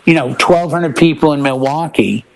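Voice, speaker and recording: Higher-voiced middle-aged man. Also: moderate pace, gravelly, rough recording. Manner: opinionated, emphatic storyteller. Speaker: talk show panelist. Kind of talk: casual music chat.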